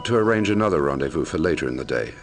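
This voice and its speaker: A man acting as an investigator who narrates his grim logbook in a measured, brooding way for a mystery game, in a gravelly voice. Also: animated. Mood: grave, recounting. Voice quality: very deep, gravelly. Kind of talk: scripted first-person narration for a mystery game. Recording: music under the voice. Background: music.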